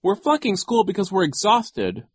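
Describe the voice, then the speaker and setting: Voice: mid-range voice, wildly swooping pitch, normal speed, husky, dry recording, pitched above the performer's own voice. A performer voicing an exhausted, defiant young orphan girl who protests and cries out in a storybook reading. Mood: exasperated. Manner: protesting, blurting, crying out.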